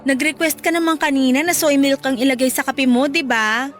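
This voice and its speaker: Frank young Filipino woman played by an actress, high-pitched, teasing best friend in radio drama, light music behind. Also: steady tone, a little fast, close to an everyday voice. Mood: frank advice.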